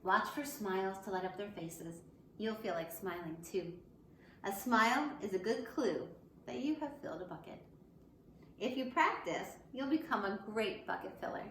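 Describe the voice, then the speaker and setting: The recording has a clear room echo and a faint layer of noise. In it, a woman speaks at a middling pitch as a schoolteacher addressing young children. She uses simple, encouraging phrases, in the manner of a picture book read-aloud. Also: lively intonation, moderate pace, a bit bright. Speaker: elementary school teacher.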